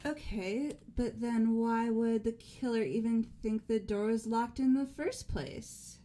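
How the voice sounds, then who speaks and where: A woman playing a puzzled female student with a soft tone in a fan-voiced game. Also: a little high, fairly even intonation, unhurried, lightly acted. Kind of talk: fan voice acting of a video game script.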